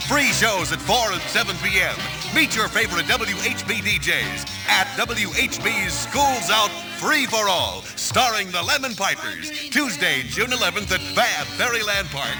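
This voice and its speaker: A man's voice: sits high, sing-song, a little slow, few pauses, recorded from a distance. An American man announcing 1960s top 40 radio promos in a punchy, salesmanlike style. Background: music.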